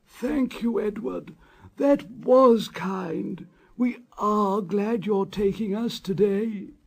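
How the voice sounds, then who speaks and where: A man voicing timid, polite passenger coaches in a storybook, speaking in a raised, slow and careful voice. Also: sits high, gravelly, voice pushed up, exaggerated voice. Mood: grateful. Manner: pleading then gently grateful, slow and careful.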